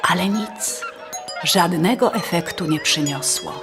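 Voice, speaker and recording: A woman's voice: on the low side, a little slow. A woman narrating a scripted fairy tale as a storyteller. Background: faint music.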